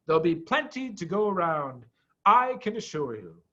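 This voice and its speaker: A sly, self-assured father fox, voiced by a man in storybook style. He speaks grandly and emphatically, with lively swooping pitch. The sound is slightly dull. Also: normal speed, heavily acted. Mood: jubilant, commanding. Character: confident, reassuring father fox, generous and sly. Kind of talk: storybook character voice.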